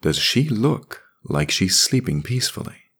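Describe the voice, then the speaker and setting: A man voicing a calm, firm grown woman in an audiobook, in his own deep, steady voice and a gently insistent tone. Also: a little slow, breathy edge, full character voice. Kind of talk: audiobook character voice.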